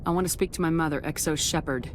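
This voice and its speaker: An actress playing a calm, firm, direct female starship commander in a video game. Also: low-pitched, fast talker, close to an everyday voice. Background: game music.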